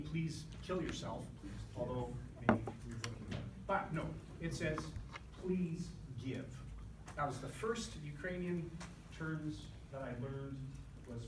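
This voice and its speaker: A man lecturing an accounting class, telling rambling, jokey stories full of fillers between points. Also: mid-range voice. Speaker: accounting instructor. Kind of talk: lecture with joking asides. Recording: echoey classroom from a distance with background noise.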